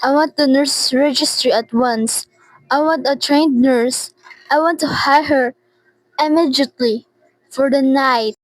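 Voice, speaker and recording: Teen girl playing a frantic, high-voiced invalid woman. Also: dry recording, poor audio. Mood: impatient, demanding. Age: teenager. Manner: anxious, imperious, halting repetitions. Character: frantic, demanding invalid woman alone at night. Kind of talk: radio drama acting.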